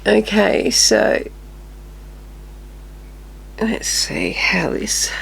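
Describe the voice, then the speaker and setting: A woman in her fifties, still a little nasal, chatting casually through a makeup tutorial with quiet murmured asides. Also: mid-range voice, fairly even intonation, very slow, creaky, whispered. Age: 50s. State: slightly nasal, recovering from illness. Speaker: beauty vlogger.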